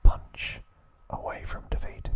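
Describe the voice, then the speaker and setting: A man narrating ASMR in a hushed, deliberate whisper, breaking his sentences into short phrases. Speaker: ASMR host.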